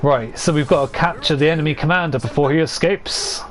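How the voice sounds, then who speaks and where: A young man giving rambling, casual commentary to his chat as he plays, laughing often at his own jokes and a little hoarse from losing his voice. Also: a little high, brisk, soft background music. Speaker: streamer.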